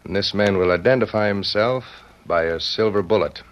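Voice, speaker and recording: An actor playing a grave, authoritative American security chief in an old radio drama, firm and formal. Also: male voice, fairly low pitch, normal speed, close to an everyday voice. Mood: grave, urgent. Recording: dull old radio recording.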